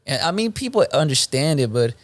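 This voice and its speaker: A man in his late twenties from Belgium, a native Moroccan Arabic speaker, talking casually as a rapper guest in an interview, with a relaxed, halting delivery full of ums. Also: a little high, dry recording. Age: 20s. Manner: relaxed, hesitant with frequent ums and restarts.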